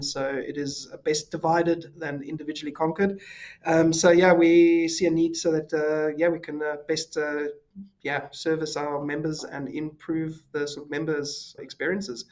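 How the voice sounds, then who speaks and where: South African man, higher voice. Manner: relaxed, many fillers and restarts. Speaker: podcast guest. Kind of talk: casual interview chat.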